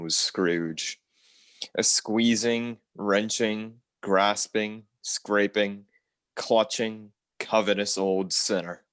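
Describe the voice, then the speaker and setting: An actor playing a grave 1930s radio presenter and storyteller, narrating in a measured, dramatic way. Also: male voice, deep voice, no room sound.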